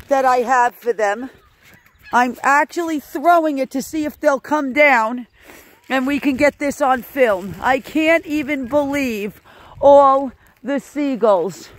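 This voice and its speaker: A woman filming a casual outdoor vlog, exclaiming in amazement and repeating her phrases as she narrates to viewers. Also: sits high. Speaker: animal sanctuary host filming a vlog. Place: outdoors at a rural animal sanctuary.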